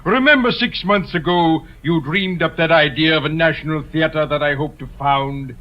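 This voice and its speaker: Male actor as washed-up ham stage star, higher-pitched, florid and ingratiating, 1950s radio play, muffled old recording. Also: moderate pace, natural voice. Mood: wheedling, boastful. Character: faded ham matinee idol, older, grandiloquent, wheedling. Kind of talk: 1950s radio drama acting. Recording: dull vintage radio recording.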